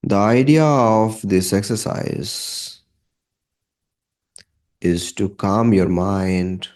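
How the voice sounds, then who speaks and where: A man leading a guided meditation in a calm, measured manner, leaving long pauses between short phrases. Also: low voice.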